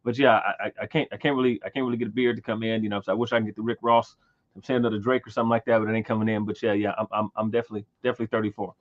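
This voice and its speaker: Host, man in his thirties, lowish pitch, halting and filler-heavy, slightly dull sound. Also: steady tone. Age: 30s. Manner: halting, many repeated words and fillers. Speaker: livestream host. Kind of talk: casual livestream chat.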